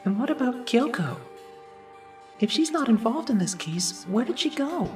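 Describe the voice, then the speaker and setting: A woman's voice: fairly high pitch, very animated, normal speed, darkish tone. An earnest teenage boy, voiced by a woman, thinks aloud with uneasy hesitation. The voice is pensive and a little distant from the microphone, over faint music, as an anime game fan dub monologue. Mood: pensive, worried.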